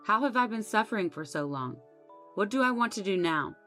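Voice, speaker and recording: A woman's voice: mid-range voice, animated, a little fast, pushed and bright, soft background music, voice pushed up. A woman narrator voicing a wronged young wife in a story, aggrieved, emphatic and resolute. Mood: pained, resolute. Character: wronged young daughter-in-law, bitter, pained, resolute.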